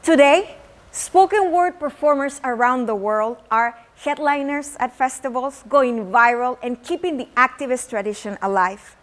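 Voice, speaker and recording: Casting: Mexican-accented woman, high voice, spoken word artist and teacher. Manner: slow, measured poetic delivery with Spanish words.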